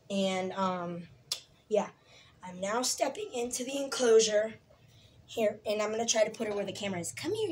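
Raspy kinda high-voiced girl, little sick, chatty w lots of ums, phone vid indoors. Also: a little hiss or hum. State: a little sick, clearing throat. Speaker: pet channel host. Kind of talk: casual phone vlog. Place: small room indoors. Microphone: handheld phone, mostly behind the camera.

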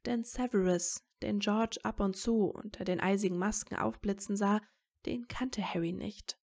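A woman's voice: medium pitch, steady tone, normal speed, a little mellow. A woman reading scripted narration for a fan fiction audio story.

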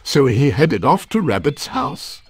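A male narrator reads a children's storybook aloud with great expression. His voice is husky and swings widely in pitch, recorded dry and close. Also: sing-song, measured pace, no room sound.

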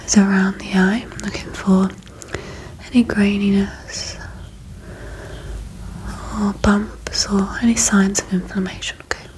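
A young woman whispering breathily and gently in an ASMR medical roleplay, giving soothing instructions very close to the microphone. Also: medium pitch, level tone, measured pace, background noise. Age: young adult. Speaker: ASMR performer playing an eye examiner.

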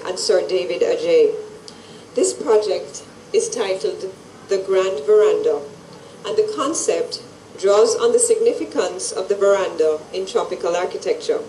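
A middle-aged woman reading a formal scripted presentation at an event in a measured way. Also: medium pitch, dark tone, echoey room, far from the mic, a little hiss or hum, rough recording. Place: large indoor event hall with stage and audience. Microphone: handheld microphones at a lectern, through PA.

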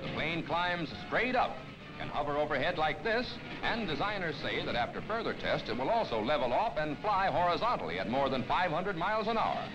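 A man's voice: fairly high pitch, very animated, fairly quick, dull audio. An American man reading scripted 1950s newsreel narration in a dramatic, declamatory manner on a vintage film soundtrack. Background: loud orchestral newsreel music.

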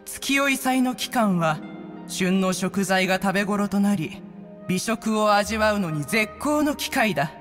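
An actress playing a proud, imperious immortal bird in a video game, speaking in an archaic, grandiose, haughty way. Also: female voice, medium pitch, expressive, a little slow, lightly acted. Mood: proud, stately. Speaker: game character voice actor. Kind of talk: Japanese game dialogue acting. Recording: long hall-like reverb, music underneath.

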